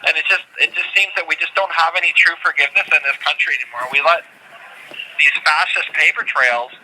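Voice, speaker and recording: A man talking earnestly and haltingly from prison over a noisy phone line, repeating words as he makes his case. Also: on the high side, steady tone, pushed and bright. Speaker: prisoner being interviewed by phone. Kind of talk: earnest, unscripted phone interview talk. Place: prison, on a telephone call. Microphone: telephone.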